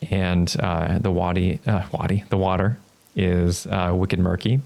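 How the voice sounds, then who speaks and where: A man narrating a tabletop roleplaying game as game master, improvising scenes in a halting, thoughtful way. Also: deep voice, flat delivery, gravelly, darkish tone.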